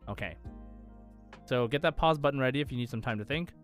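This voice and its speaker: A man hosts a quiz podcast in a casual, conversational way. His voice sits at an average pitch, with light music playing underneath. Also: quick pace. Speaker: podcast host and quizmaster. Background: faint background music.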